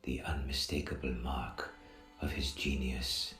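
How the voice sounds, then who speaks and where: A man playing a grave, secretive Persian stranger in an audio drama, speaking formally and deliberately in a hushed voice that often drops to whispers. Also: rumbling low, level tone. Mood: hushed, insistent. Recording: echoey, roomy sound with music.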